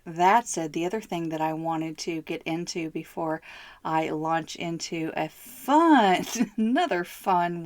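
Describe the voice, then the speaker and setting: A middle-aged woman hosting her own podcast, hoarse from bronchitis, speaking slowly, heartfelt and candid. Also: lowish voice, a little mellow, no room sound. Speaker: podcast host. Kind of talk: solo podcast monologue.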